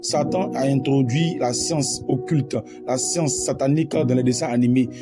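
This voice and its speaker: A man's voice: mid-range voice, fairly even intonation, normal speed. Emphatic male evangelist over music. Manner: emphatic, repetitive phrasing. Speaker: evangelist, channel presenter. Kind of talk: preaching, warning address.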